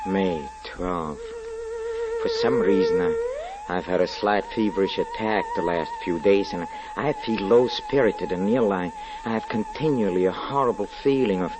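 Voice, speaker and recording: An actor playing a sensitive, nervous French gentleman in a 1940s radio drama, speaking haltingly and repeating his words as if writing a diary. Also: medium pitch, low-quality recording. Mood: anxious, uneasy. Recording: vintage 1940s radio broadcast with music.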